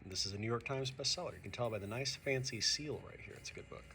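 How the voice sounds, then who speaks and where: A man who is a teacher reading a picture book aloud to young students, expressive and speaking to them directly. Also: medium pitch, fast talker, slight background noise. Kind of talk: animated children's read-aloud.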